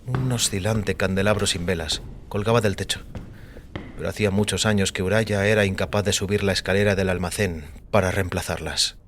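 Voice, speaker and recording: Low-voiced man narrating dramatic fiction. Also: steady tone, fairly quick, a little hiss or hum. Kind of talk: scripted dramatic story narration in Spanish. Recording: music under the voice. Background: background music.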